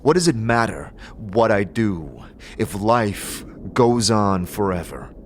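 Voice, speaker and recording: Man acting a low, brooding immortal wanderer. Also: very animated, takes it slowly, slight background noise. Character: brooding amnesiac immortal man, troubled and searching. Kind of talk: fantasy audio drama acting.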